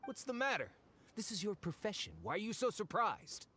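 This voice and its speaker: An actor playing a greedy, self-important fake archaeologist in an anime game, pompous, hedging and excitable. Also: male voice, high-pitched, normal speed, a little hiss or hum, exaggerated voice. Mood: scornful. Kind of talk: anime video game voice acting.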